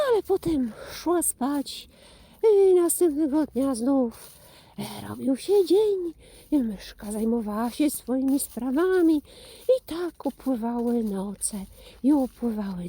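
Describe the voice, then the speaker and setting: A woman telling a children's fairy tale expressively, often dropping to a hush and repeating phrases for suspense. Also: high-pitched, very animated, takes it slowly. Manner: hushed, breathy drops, repeats phrases for suspense. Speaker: children's storyteller.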